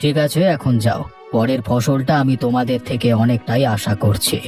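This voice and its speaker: A man playing an avuncular village headman in a cartoon, giving advice in a measured, instructive way. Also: medium pitch, a little mellow, faint music behind, lightly acted. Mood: advising, reassuring. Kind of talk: cartoon dialogue.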